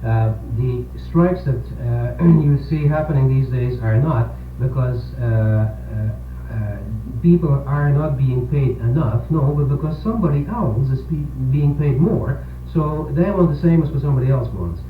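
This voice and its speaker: A man, a priest co-hosting a local radio discussion, speaking earnestly and haltingly with repeated words on a 1970s recording. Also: medium pitch, recorded from a distance. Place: radio program, distant-sounding and echoey. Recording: tinny, echoey 1970s radio aircheck, humming noise.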